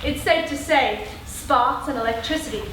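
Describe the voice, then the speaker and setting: A woman acting a chirpy TV reporter who presents a superhero documentary, projected and deliberate in a comic stage style. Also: sits high, close to an everyday voice. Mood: announcing. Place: live stage performance in a hall. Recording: very echoey hall, distant mic, audience noise.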